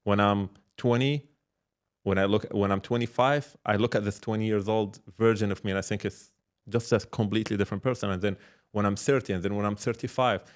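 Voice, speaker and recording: Male podcast guest, startup mentor type, lowish voice, casual and reflective, restarts phrases as he explains. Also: steady tone. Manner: halting, repeats words, explains with examples and check-questions. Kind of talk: casual reflective interview talk.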